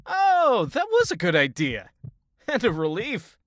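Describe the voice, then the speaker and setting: A man's voice: sits high, huge pitch swings, measured pace, a bit bright, heavily acted. A man playing a nervous, naive adventurer in an audio drama, jumpy and plaintive in his delivery. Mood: bemused, relieved. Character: nervous, naive, easily startled male adventurer.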